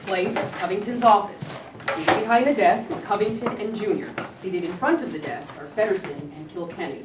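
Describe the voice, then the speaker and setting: A woman's voice: mid-range voice, a little fast. A woman narrator reading stage directions aloud from a script. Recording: echoey room, distant mic, clearly noisy.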